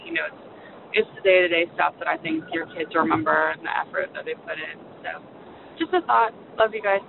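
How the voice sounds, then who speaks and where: A woman caller leaving a reflective, casual voicemail, rambling a little and hesitant. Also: creaky. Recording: noisy phone line, vehicle rumble.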